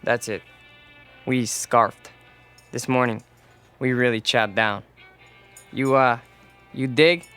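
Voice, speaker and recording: An eager young biker who wants to fit in, in a radio play. His medium-pitched voice is hesitant and a bit stiff with slang. There is faint music behind it. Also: steady tone, slow pace, pauses often, bright, forceful tone, clear, resonant tone. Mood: awkward, curious. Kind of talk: radio drama acting.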